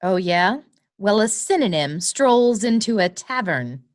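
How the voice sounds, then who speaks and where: An actress playing a precise, competitive woman attorney in a noir comedy radio play, speaking in a formal, measured way. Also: mid-range voice, expressive, lightly acted. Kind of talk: comic noir radio acting.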